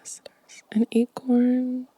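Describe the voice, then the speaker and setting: A woman whispering gently and softly in an ASMR show-and-tell style, breathy and unhurried. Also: fairly high pitch, monotone, no echo. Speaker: ASMR video creator.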